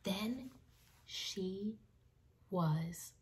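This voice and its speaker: A young woman reading a children's book aloud expressively, with dramatic pauses, addressing young listeners. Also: mid-range voice, a little mellow. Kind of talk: expressive read-aloud storytelling. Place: small home room with bookshelves. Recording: slightly distant mic, faint background noise.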